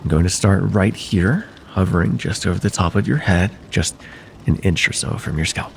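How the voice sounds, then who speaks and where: Male actor as courteous, faintly sinister vampire therapist for ASMR roleplay. Lowish voice, formal, gently hypnotic, noticeable background noise. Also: normal speed, creaky. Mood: hushed, hypnotic. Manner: formal, hesitant, gently hypnotic, addressing the listener directly.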